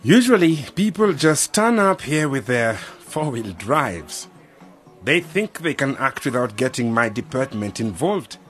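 An actor playing a pompous, self-important government official in a radio drama. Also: male voice, medium pitch, very animated, a little slow, a bit bright, faint music behind. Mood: boastful.

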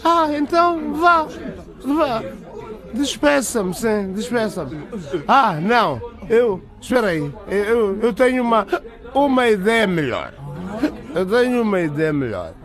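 An actor playing a cocky, defiant security guard in a radio drama, halting and mocking, repeating himself. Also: male voice, high-pitched, big pitch swings, exaggerated voice. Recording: loud crowd chatter behind.

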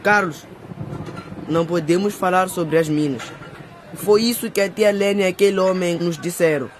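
A man playing a lively, teasing young boy in a radio drama. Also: high-pitched, normal speed, background noise, natural voice. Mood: cautious. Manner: teasing, playful.

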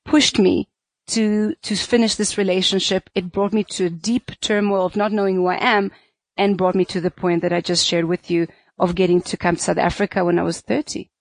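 A woman from Israel, in her forties, answers interview questions earnestly, pausing and hunting for the right words. Her voice sits at an average pitch, and the recording is close and dry with no room sound. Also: flat delivery, dry recording, rough recording. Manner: earnest, searching for words, many fillers. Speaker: guest, pleasure activist.

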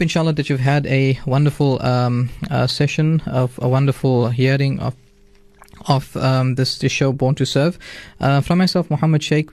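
A man's voice: medium pitch, darkish tone, no room sound. A man with a South African accent, hosting a radio interview in a courteous, formal way. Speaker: radio host.

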